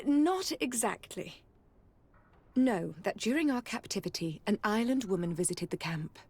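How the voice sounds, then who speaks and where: An actress playing a formal, articulate expedition scholar in a fantasy game. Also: female voice, mid-range voice, a little mellow. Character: formal female expedition scholar, freed from captivity. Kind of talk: scripted game cutscene acting.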